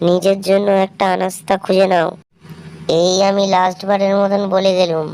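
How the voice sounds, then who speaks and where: A woman's voice: mid-range voice, level tone, normal speed, a little mellow, a little hiss or hum, lightly acted. A woman playing a harsh, accusing village aunt in a horror cartoon. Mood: angry, threatening. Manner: nagging, accusing.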